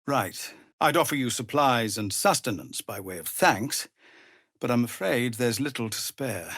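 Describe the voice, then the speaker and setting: An actor playing a weary, dutiful leader of refugee outcasts in a video game, speaking formally and earnestly. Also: male voice, mid-range voice, expressive, a little slow, fairly bright tone, husky, dry recording, clean studio sound, full character voice. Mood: grave, weary. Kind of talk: dramatic video game voice acting.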